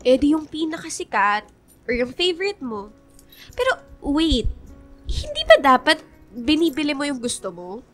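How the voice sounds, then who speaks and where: An exasperated young female best friend in a radio drama. Her voice is high and very animated, earnest and scolding but caring. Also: moderate pace, long pauses, soft background music. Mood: earnest, concerned.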